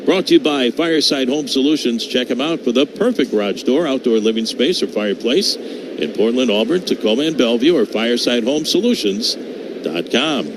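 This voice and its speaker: A man calling live baseball play-by-play for radio, lifting his voice on the action and settling back between pitches. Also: animated, fairly quick. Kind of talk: live radio sports commentary. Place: open-air ballpark broadcast booth. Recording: clear outdoor ballpark noise behind.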